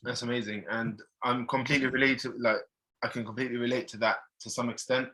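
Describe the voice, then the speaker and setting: A man's voice: mid-range voice, level tone, fast talker, sharp tone, poor audio. A man hosting a podcast, chatting casually and sharing relatable stories, originally from Pakistan. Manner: conversational, relatable anecdotes. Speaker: podcast host.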